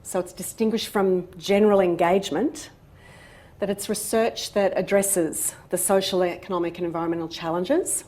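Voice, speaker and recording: Woman in midlife, lecturer, measured delivery, filler-heavy. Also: fairly low pitch, steady tone, dark tone. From Australia. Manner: measured, many ums and uhs. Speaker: guest speaker, professor. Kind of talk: university seminar lecture. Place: lecture room.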